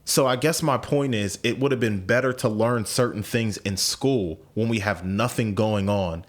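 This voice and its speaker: A man's voice: on the low side, moderate pace. A man in his late twenties, hosting a podcast in casual conversation, thinking aloud with frequent repeats and restarts. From United States. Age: 20s. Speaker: podcast host.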